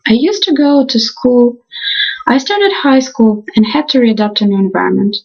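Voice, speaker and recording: A young woman reads her class presentation in an earnest, halting way, often stopping to restart her sentences. Her voice sits a little high and sounds breathy. The recording is muffled and lo-fi. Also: a little mellow. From Ukraine. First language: Russian. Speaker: college student.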